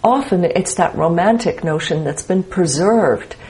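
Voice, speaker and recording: Older Dublin woman, soft Irish lilt. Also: on the low side, expressive, low-quality recording. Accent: softened Dublin Irish. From Ireland, Dublin. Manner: measured, thoughtful, lets a sentence trail and restart. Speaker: author and interview guest. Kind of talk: reading her memoir, then interview chat.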